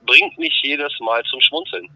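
A man's voice: fairly high pitch, animated, measured pace, gravelly. A man calling in to a show, chatting casually in a polite, agreeable way. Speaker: caller. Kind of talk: casual chat. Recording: dull phone-call sound, crowd behind.

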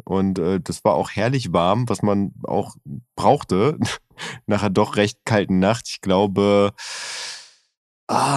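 Casting: lower-voiced male host, rambling and chatty, dry close mic. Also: no room sound. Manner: rambling, chatty storyteller with many fillers. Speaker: podcast co-host. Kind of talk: casual chat.

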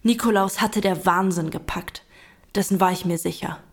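A woman's voice: on the low side, moderate pace. A woman narrating a radio drama in first person, earnest and emotional, as a young pilgrim recalling events.

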